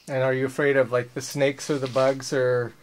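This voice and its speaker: A man's voice: mid-range voice, level tone, moderate pace, a little hiss or hum. A man, interviewing people casually on camera in an informal, prodding, teasing way.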